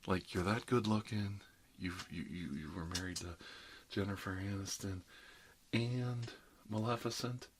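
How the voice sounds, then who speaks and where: Low-pitched man in rambling ASMR chat. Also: steady tone, unhurried, slight background noise. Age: middle-aged. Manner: rambling, conversational, jokey, frequent "you know". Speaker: ASMR performer playing an emergency dentist. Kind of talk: ASMR roleplay, rambling one-sided casual chat.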